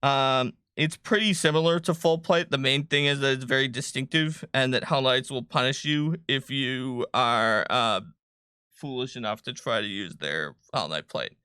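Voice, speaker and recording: Male livestream host, bright and crisp-edged tone, dry sound. Casual, playful, talking through game choices as he goes. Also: medium pitch, normal speed, no echo. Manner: playful, thinking aloud. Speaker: game designer hosting a livestream. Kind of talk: casual chat talking through a tabletop character build.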